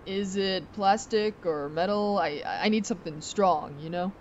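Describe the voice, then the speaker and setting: A woman's voice: mid-range voice, unhurried, a little mellow, natural voice. Woman playing a brash, slangy cartoon pony DJ. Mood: easygoing. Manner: laid-back slangy, cocky. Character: cool, brash young female pony DJ. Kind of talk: comedic prank phone call. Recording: dull, noisy prank call recording.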